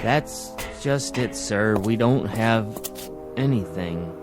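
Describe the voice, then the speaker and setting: Slow, stunned airport worker. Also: male voice, on the low side, expressive, music in the background. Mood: bewildered. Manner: halting, stunned. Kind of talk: television drama acting.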